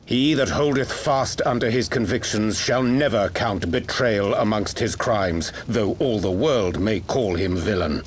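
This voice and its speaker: Male actor as solemn game mystic, lowish pitch, very measured delivery, archaic formal phrasing, courteous, grave. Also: a little hiss or hum, natural voice. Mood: solemn, challenging. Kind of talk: video game cutscene acting.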